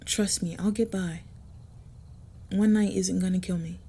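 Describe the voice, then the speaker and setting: A woman playing a guarded, gentle, possessive vampire in an intimate roleplay audio, soft and hushed, with a hesitant, measured delivery. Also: fairly low pitch, steady tone. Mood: reluctant, tender. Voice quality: soft, husky, breathy. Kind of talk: intimate roleplay audio with first-person narration.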